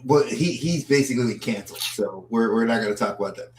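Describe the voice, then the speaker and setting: A man's voice: mid-range voice, fast talker, a little mellow. A man co-hosting a podcast, chatting casually about movies and often repeating words as he starts a thought. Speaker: podcast co-host. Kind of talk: casual movie-review chat. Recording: roomy echo.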